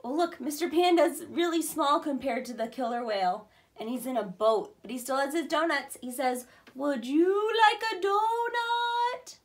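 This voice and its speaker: A woman's voice: high-pitched, normal speed, hardly any pauses. A woman in her thirties reading aloud like a teacher to young children, explaining simply and expressively. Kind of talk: children's read-aloud with questions and commentary. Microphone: camera a short distance away.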